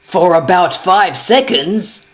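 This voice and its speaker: A man voicing a menacing alien war machine in a toy parody, declaiming in a drawn-out way. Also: sits high, lively intonation, a bit bright, pitched above the performer's own voice, heavily acted. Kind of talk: fan parody voice acting.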